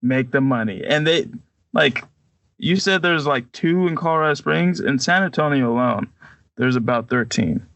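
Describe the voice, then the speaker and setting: A man's voice: medium pitch, moderate pace, a bit bright, dry recording. A man co-hosting a podcast in casual, conversational chat. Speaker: podcast co-host.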